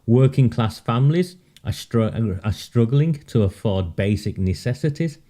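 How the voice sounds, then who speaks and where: A man's voice: mid-range voice, expressive, a little slow, warm tone. A man hosting a live-streamed political monologue, sardonic and emphatic, often laughing at his own points and repeating words for emphasis. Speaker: political commentary host.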